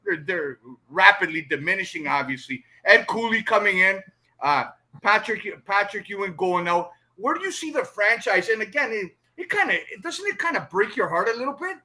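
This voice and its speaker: A middle-aged man hosts a casual sports interview in a high, very sharp, forceful voice. He talks like an eager fan, rambling and often checking that the listener agrees. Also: sing-song, normal speed. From Toronto, Canada. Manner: rambling, enthusiastic fan talk, tags points with "right?". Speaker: sports show host.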